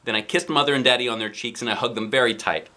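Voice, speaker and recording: A man reading a children's book aloud as an expressive dad, with dramatic emphasis. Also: medium pitch, fast. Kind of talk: animated storybook narration.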